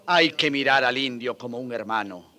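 An actor playing a fiery, earnest older priest in a radio drama, with an emphatic, preaching manner. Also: male voice, mid-range voice, moderate pace, bright, forceful tone. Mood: solemn, pleading.

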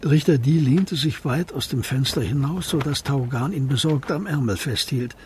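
A man with a very husky, breathy voice, narrating a German radio crime drama from a script. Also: medium pitch, moderate pace, a little hiss or hum.

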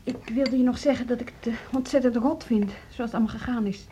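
An actress playing a hesitant, earnest woman music teacher in a naturalistic radio drama, speaking haltingly. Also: a little high, steady tone, mellow, slight background noise, lightly acted. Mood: earnest, subdued.

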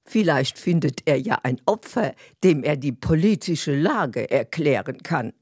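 A woman's voice: deep voice, lively intonation, measured pace, a little mellow, slightly rough, no room sound, studio quality. A woman narrating in a scripted style, introducing the scenes and characters of a comedy.

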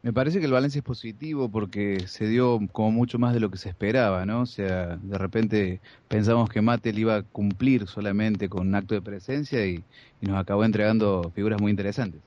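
A man from Córdoba, giving his measured opinion as a podcast guest. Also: on the low side, darkish tone, muffled recording. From Córdoba, Argentina.

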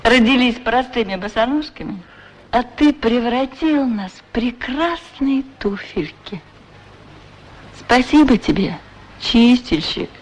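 An actress voicing a talking pair of golden sandals, gentle and curious, in a radio play. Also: female voice, a little high, animated, slow pace, slightly rough, full character voice. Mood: grateful, soothing. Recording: noisy 1960s radio recording.

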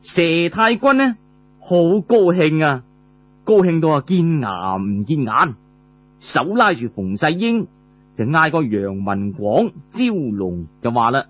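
A man's voice: on the high side, big pitch swings, takes it slowly, muffled recording, soft background music. A man with a Cantonese accent telling a traditional tale, conversational and dramatic, drawing out his particles. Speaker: storyteller. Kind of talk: animated traditional storytelling narration.

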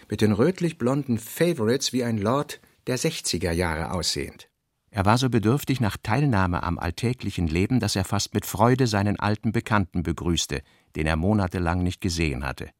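A man's voice: lowish voice, expressive, normal speed, dry recording, studio quality. A man narrating a literary radio drama, reading scripted prose as the storyteller.

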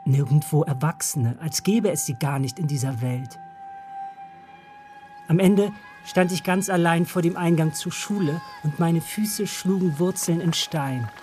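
An actor narrating a radio drama as an adult looking back on a lonely village childhood, in measured, lyrical fragments, with music behind. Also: medium pitch, darkish tone, lightly acted. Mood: reflective, measured.

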